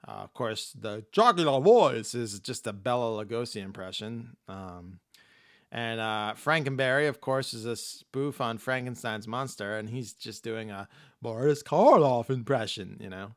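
A man's voice: medium pitch, a little slow, dry recording, studio quality. A laid-back man hosting a podcast, chatting casually and laughing as he rambles. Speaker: podcast host. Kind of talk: casual chat.

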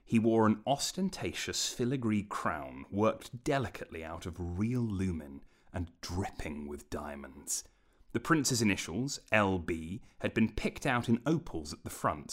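Low-pitched man narrating fantasy, expressive range. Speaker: audiobook narrator. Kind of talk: scripted fantasy story narration.

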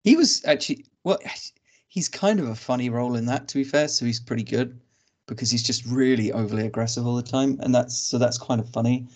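A man from Wales co-hosting a podcast, chatting casually in a relaxed way with dry humor. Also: mid-range voice, brisk. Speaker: podcast co-host. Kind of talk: casual chat.

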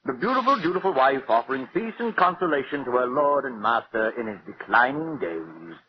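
A man's voice: mid-range voice, very animated, a little fast, natural voice. An actor playing a dying husband who suavely taunts his wife in theatrical, mock-affectionate tones, in a 1940s radio drama. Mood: gleeful mockery. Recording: muffled, hissy old radio recording.

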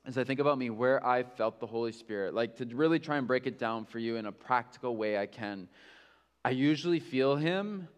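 A man's voice: mid-range voice, fairly quick, clear, resonant tone. Candid man preaching in a reverberant church. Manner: candid, conversational preaching with self-mocking asides. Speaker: pastor. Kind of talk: sermon.

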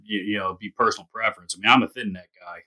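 Bright-toned man, halting guest chat. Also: lowish voice, fairly even intonation. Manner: halting, full of "you know" fillers. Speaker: guest, guitar retailer. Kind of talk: casual podcast chat about guitars.

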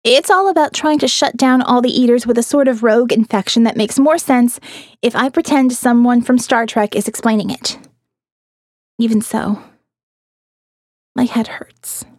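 A woman reading a first-person diary as an audio novel narrator, in an expressive, reflective and wry manner, close on the mic. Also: fairly high pitch, moderate pace, no echo. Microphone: close studio mic, dry.

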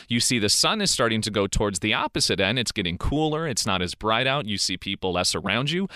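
A man's voice: medium pitch, bright, forceful tone, dry recording, studio quality. A young man hosting his own show, delivering an earnest, preachy monologue and checking in with the listener through rhetorical questions. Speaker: podcast host. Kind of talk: monologue on education and life.

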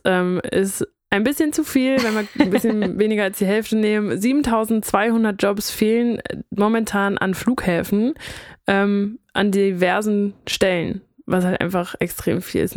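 A woman's voice: medium pitch, dry recording, studio quality. A woman co-hosting a podcast, explaining numbers casually with fillers. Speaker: podcast co-host. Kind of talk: podcast conversation.

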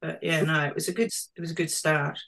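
A woman's voice: low-pitched, flat delivery, fast, gravelly, fairly bright tone. A woman in her fifties from London with a British accent, answering questions in a casual conversational interview. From London, England. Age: 50s. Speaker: interviewee, interpretive planner and tourism marketer.

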